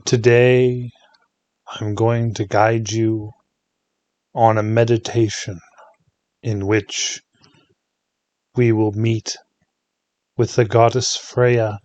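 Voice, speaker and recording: A man leading a guided meditation in a soothing, hushed manner, leaving long pauses between short phrases. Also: lowish voice, flat delivery, no echo, muffled recording.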